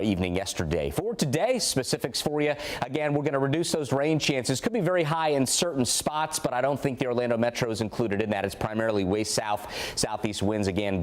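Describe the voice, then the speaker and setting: An American man presenting an ad-libbed television weather forecast. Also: fairly low pitch.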